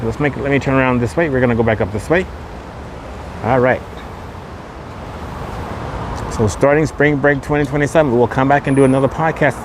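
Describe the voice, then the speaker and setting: Male podcast host, mid-range pitch, casual walk-and-talk narration, repetitive guide patter, noisy windy outdoor recording. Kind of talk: casual walking tour narration. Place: outdoors on a windy street at a shopping mall. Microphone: camera microphone with a wind guard. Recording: windy outdoor street noise.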